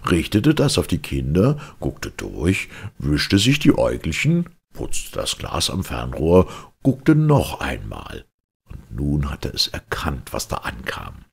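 A man reading a fairy tale aloud as an audiobook, in a theatrical, expressive storytelling manner. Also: extremely low pitch, creaky, breathy edge. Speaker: audiobook reader.

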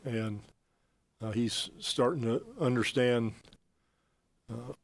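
An older man, a basketball head coach, answering reporters after a game in a press conference room at a desk mic, measured and plain-spoken with frequent fillers and restarts. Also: mid-range voice, steady tone. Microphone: desk mic on stand.

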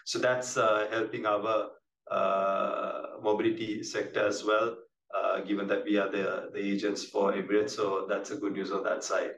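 A Sri Lankan man gives a measured, halting business briefing in a flat tone. He sounds like an executive taking questions, often doubling back on words. The sound is distant and roomy, with noise behind him. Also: on the low side. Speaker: company executive on an earnings call. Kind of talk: business presentation, answering questions. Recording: roomy, distant mic with clear background noise.

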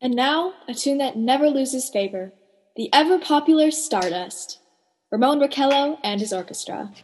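A woman's voice: high-pitched, lively intonation, moderate pace, background noise. A woman playing a genteel presenter of dance music in a 1930s-style radio play, reading scripted introductions. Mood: genteel, presenting. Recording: long hall-like reverb.

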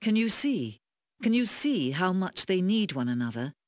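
An actress playing an unseen, all-knowing game narrator, solemn and pitying, speaking in measured, deliberate phrases with weighty pauses. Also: female voice, lowish voice, big pitch swings, dry recording, clean studio sound. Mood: wistful, knowing. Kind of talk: scripted dramatic game narration.

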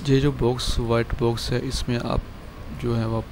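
A man, hosting a screen-recorded software tutorial and calmly walking through a design file with lots of filler words. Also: medium pitch, noisy background. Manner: calm, unhurried explaining with many fillers. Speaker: channel host showing a design file.

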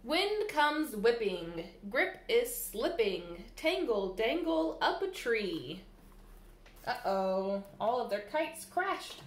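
A woman in her thirties reads a picture book to small children in a slightly high, very animated voice. Her delivery is sing-song and addressed straight to the kids. It is recorded in a small, echoey room on a camera mic a little way off. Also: slow pace. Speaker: library staff storyteller. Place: small room indoors by a window, seated. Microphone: camera mic a short distance away. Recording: roomy echo from camera mic.

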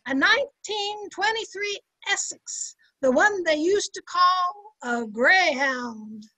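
Sitcom housemaid, played by an older woman: very high, bright voice, brassy slangy wisecracks, 1950s radio comedy. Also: lively intonation, slow, heavily acted, poor audio. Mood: wisecracking. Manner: sardonic, slangy, punchy delivery. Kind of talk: amateur old time radio comedy acting. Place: indoor room at home, video call. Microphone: computer mic on a video call.

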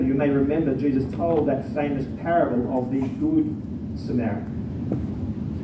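A man delivers an earnest expository sermon in a voice pitched a little high. He stumbles into repeated words as he presses his points. The recording is distant and roomy, with steady noise underneath. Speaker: preacher. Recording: echoey hall from far off with noise.

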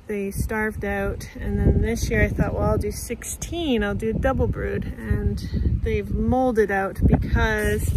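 A woman in her thirties from Canada, talking casually and sounding let down as she inspects a beehive in her backyard, filmed close on a phone. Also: medium pitch. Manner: disappointed, thinking aloud while inspecting a hive. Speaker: beekeeping vlogger. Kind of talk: casual vlog commentary. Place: outdoors in a backyard bee yard. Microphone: phone camera held close, selfie framing. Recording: loud outdoor noise on a phone mic.

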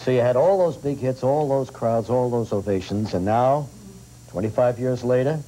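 A man's voice: mid-range voice, moderate pace, mellow, poor audio. A man in his sixties hosting a morning television interview, chatty and affirming, often repeating himself. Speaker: talk show host. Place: TV studio set with audience.

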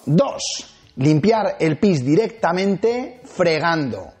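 A man in his forties from Spain hosting a dog-training video, talking to camera with animated gestures and emphatic swings in pitch. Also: slow. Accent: Spanish from Spain. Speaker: dog trainer and channel host. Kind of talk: energetic tips video to camera. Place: small indoor studio set with shelves. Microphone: lapel.

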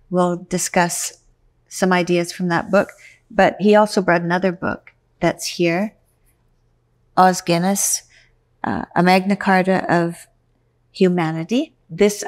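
A middle-aged woman hosting a podcast interview, speaking casually with many fillers and quick affirmations. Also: lowish voice. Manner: warm listener with frequent affirmations, hesitant fillers. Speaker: podcast host. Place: bright room indoors.

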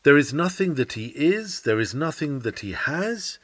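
A man reading an old sermon aloud in an oratorical preaching style, solemn, measured and emphatic. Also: a little high, big pitch swings, a bit bright, no room sound. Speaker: sermon reader.

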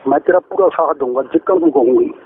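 A man's voice: a little high, fast. A middle-aged man with an Indian Punjabi accent talking privately on a noisy recorded phone call, rambling and repeating himself insistently. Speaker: caller, father-in-law. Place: phone line.

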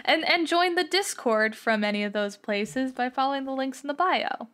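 A woman's voice: sits high, normal speed, a bit bright, smooth. A woman on a podcast, speaking in a casual, chatty style. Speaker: podcast cast member. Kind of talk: casual chat.

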